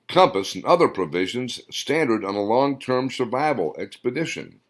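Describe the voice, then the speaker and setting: Low-voiced man reading a story aloud. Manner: expressive storytelling read-aloud. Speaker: author reading his own story. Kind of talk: audiobook narration.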